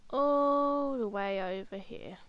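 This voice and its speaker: A woman's voice: high-pitched, slow pace, no echo, muffled recording. A woman giving casual live game-stream commentary, playfully repeating her words as she reacts.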